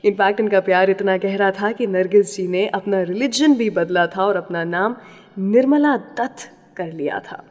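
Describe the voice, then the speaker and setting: A woman's voice: mid-range voice, measured pace, darkish tone. Indian woman radio host, long reverb. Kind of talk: scripted radio storytelling and song introductions. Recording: long reverb, music behind. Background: music.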